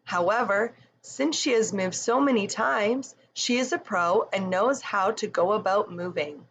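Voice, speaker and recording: A woman's voice: medium pitch, measured pace, a bit bright, slight background noise. A woman narrating a children's book as a scripted read-aloud. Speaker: storybook narrator.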